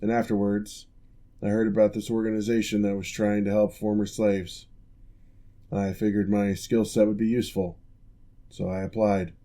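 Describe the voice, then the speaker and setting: A man voicing a calm, formal male orc who is a former general turned physical therapist, speaking in measured, reassuring ASMR roleplay. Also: on the low side, close to an everyday voice.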